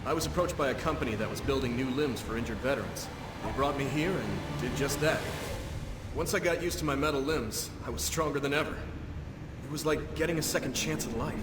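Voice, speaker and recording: A man's voice: mid-range voice, a little fast, close to an everyday voice. A man playing a remorseful cyborg war veteran in a video game, speaking in an earnest, solemn way. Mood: sombre, reflective. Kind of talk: scripted video game voice acting. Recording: very noisy, echoing game hall sound.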